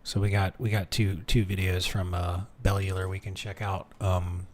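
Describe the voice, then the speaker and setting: A man's voice: low voice, flat delivery, normal speed. A man streaming from home, chatting casually and thinking aloud, with lots of restarts and fillers as he mutters his way through things. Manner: rambling, many restarts and fillers, muttering while reading.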